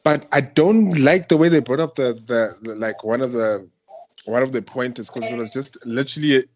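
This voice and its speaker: A young man with a South African accent hosts a chatty radio interview. His pitch sits a little low and his talk is informal and slangy. The sound is muffled, as from an internet call. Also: normal speed, a little mellow, a little hiss or hum. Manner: informal, slangy, effusive reactions. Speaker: radio show host. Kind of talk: casual chat interview.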